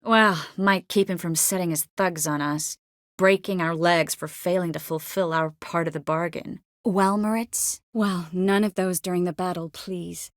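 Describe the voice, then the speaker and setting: An actress playing an earnest, caring young sorceress in a video game, expressive and by turns determined and wistful. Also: female voice, fairly low pitch, measured pace, no room sound, clean studio sound, close to an everyday voice. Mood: calm, conversational. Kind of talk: video game character dialogue.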